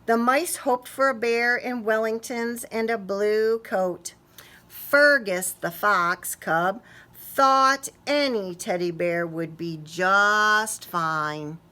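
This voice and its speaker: A woman reads a picture book aloud for small children. Her voice is bright and she takes her time, telling it expressively and turning to ask her young listeners questions. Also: medium pitch, slow pace. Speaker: children's story reader.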